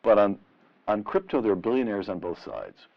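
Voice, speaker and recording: Deep-voiced, animated male interviewer, dull radio sound. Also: gravelly. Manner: deliberate, rhetorical, emphatic. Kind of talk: long-form interview questioning.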